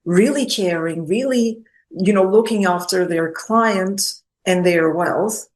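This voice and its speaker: A woman's voice: mid-range voice, slow. Effusive woman hosting an interview. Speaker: interview host. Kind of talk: spotlight interview for a business network.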